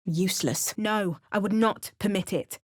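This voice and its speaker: A woman's voice: mid-range voice, normal speed, natural voice. A woman voicing a wry, battle-hardened adult adventurer who makes dry, sardonic asides and narrates her journey. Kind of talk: video game character barks and narration.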